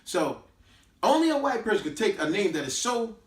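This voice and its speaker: American male, slightly high voice, preachy street-level teacher talking to companions, repeats for emphasis, recorded off-mic in an echoey room. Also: very animated, normal speed. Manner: emphatic, preachy, repeats himself with rhetorical questions. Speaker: host giving a talk on history and culture. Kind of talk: informal teaching talk to companions. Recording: echoey room, distant microphone.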